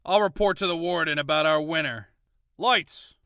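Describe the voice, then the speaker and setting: An actor playing a stern prison guard in an audio drama, curt and authoritative. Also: male voice, on the high side, normal speed, pushed and bright, dry recording, clean studio sound.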